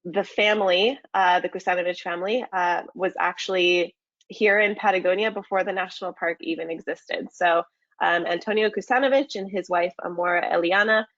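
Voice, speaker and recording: A woman's voice: fairly low pitch, fairly even intonation, moderate pace, fairly bright tone, dry recording, muffled recording. A woman from the United States presenting a travel webinar in a friendly way, with many ums and uhs. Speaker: market manager presenting a webinar.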